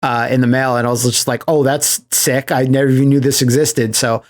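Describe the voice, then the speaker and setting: A man hosting a podcast in casual chat, recapping things as an animated storyteller who slips into acted-out quotes. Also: medium pitch, fairly quick. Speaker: podcast host.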